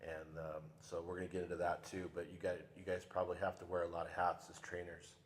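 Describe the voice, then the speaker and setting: A man hosting a local interview show, talking in a relaxed, conversational way. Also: low voice, fast, big-room sound, slight background noise. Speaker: show host. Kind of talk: casual interview. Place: local TV studio.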